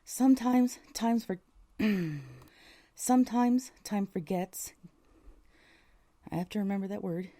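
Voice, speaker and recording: Female narrator, soft-edged dark tone, middle register, dramatic first-person storytelling. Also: medium pitch, slow pace, pauses often. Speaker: voice actor, narrator.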